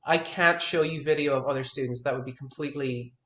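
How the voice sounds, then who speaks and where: A man's voice: mid-range voice, quick pace, bright, forceful tone, roomy sound. A man in his thirties, a guitar teacher, talking frankly in a one-on-one consultation and restarting his words as he stresses his points. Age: 30s.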